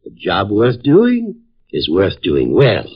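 A pompous old dean. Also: male voice, on the low side, huge pitch swings, slow, breathy edge, exaggerated voice. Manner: pompous, lecturing, talks over others. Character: pompous, absent-minded old college dean. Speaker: college dean. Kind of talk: 1950s radio drama acting. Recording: dull lo-fi 1950s radio broadcast.

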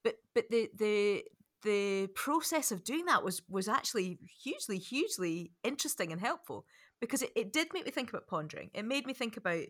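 A woman's voice: mid-range voice, sing-song, a little fast, fairly bright tone. A woman co-hosting a podcast, reflecting casually and emphatically, repeating words as she thinks her way through. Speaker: podcast co-host.

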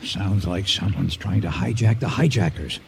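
An actor playing a gruff ship captain in a radio drama, gravelly, terse and commanding under pressure. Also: male voice, low-pitched, normal speed. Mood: tense, commanding. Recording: very noisy sea and battle effects.

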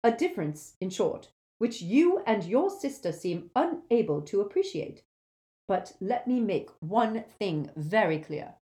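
A woman voicing a stern older female professor who speaks in a crisp, measured, icily proper manner. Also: medium pitch, very animated, dark tone, pitched above the performer's own voice.

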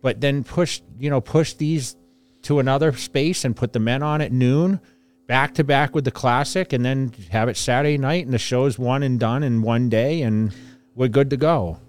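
A man hosts a casual podcast in a middle-pitched voice. He explains at length and leans hard on his points. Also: normal speed, darkish tone, smooth. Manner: emphatic, rambling explanations. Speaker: podcast host.

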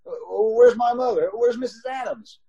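A man playing a distraught, haunted young traveler in an old-time radio drama, with a husky, halting delivery. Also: very high-pitched, fairly even intonation, mellow, muffled recording, full character voice. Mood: shocked, panicked. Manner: halting, stammering.

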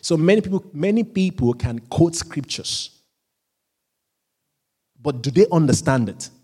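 A pastor preaching a sermon, often repeating and restarting phrases, and chuckling at himself now and then. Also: male voice, fairly high pitch, lively intonation, breathy edge. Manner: rises into louder, slower emphatic reading of scripture.

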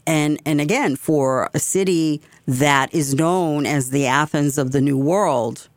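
A woman's voice: deep voice, takes it slowly, no room sound. A woman hosting a community radio talk show, speaking with passion and repeating phrases for emphasis. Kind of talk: opinionated talk radio monologue.

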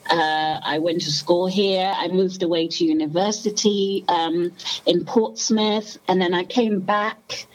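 Lowish-voiced woman from Zimbabwe, thirties. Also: fairly even intonation, a little slow, low-quality recording. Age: 30s. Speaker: podcast guest. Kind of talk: casual personal recollection.

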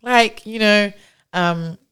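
A woman's voice: medium pitch, slow pace, fairly bright tone, smooth. A woman who grew up in Nigeria, a tech founder talking as a podcast guest in a chatty way, with many fillers and restarts. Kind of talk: casual interview storytelling.

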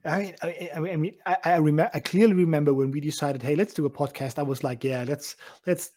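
A German man co-hosting a live video podcast, talking in a relaxed, rambling way with many restarts and laughs. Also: on the high side, fast talker. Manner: repeats words and restarts, laughs often. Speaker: podcast co-host. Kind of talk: casual chat.

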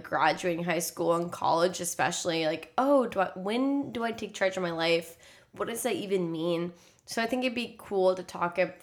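A woman's voice: medium pitch, a bit bright. A woman in her twenties with an American accent, co-hosting a podcast in casual chat with a chatty, jokey, exclamatory way of talking. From Texas, United States. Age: 20s. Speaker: podcast co-host.

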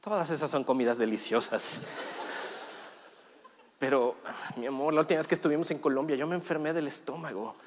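A man playing a lovestruck husband who sweet-talks his wife and grudgingly agrees to her plans. His voice is on the high side, with a faint hiss behind it. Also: brisk, pauses often, lightly acted. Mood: sweet-talking. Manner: sweet-talking, hesitant, laughing. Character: doting, reluctant young husband.

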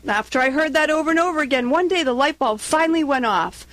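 A middle-aged woman with a Rhode Island accent, a business coach telling her story in an upbeat, expressive way as a radio interview guest. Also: sits high, fast talker, dry recording. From Rhode Island, USA.

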